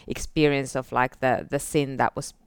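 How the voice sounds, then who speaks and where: Low-voiced Finnish woman telling her story. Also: flat delivery, normal speed, smooth, no room sound, clean studio sound. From northern Finland. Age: 40s. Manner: soft-spoken, many fillers like "like" and "uh". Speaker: guest. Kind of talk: casual testimony interview.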